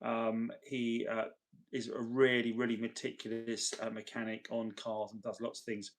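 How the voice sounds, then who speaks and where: Tired man, slightly gravelly. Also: medium pitch, completely flat delivery, normal speed. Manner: chummy, grateful to viewers. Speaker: livestream host. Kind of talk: casual livestream chat, reading and answering viewer messages.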